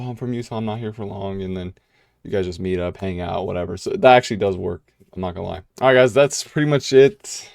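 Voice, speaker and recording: Laid-back low-voiced young man. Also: vocal fry, a little mellow, no room sound, studio quality. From United States. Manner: casual, filler-heavy with many "you know"s and restarts. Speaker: vlogger answering viewer questions. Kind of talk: casual Q&A vlog.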